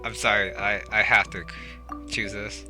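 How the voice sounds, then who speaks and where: A man with a lowish voice comments casually on a game as he plays. He mutters and thinks aloud, with game music clearly audible behind him. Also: steady tone, moderate pace. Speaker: gamer narrating own playthrough. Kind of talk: casual let's play commentary.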